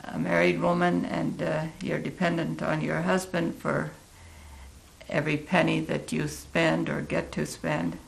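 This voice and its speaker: An elderly American woman in her eighties recalling her life in a reflective interview, halting and thoughtful, with a gravelly, creaky voice. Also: low-pitched, flat delivery. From Oregon, United States. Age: 80s. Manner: halting, deliberate, thoughtful pauses. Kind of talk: reflective oral history interview.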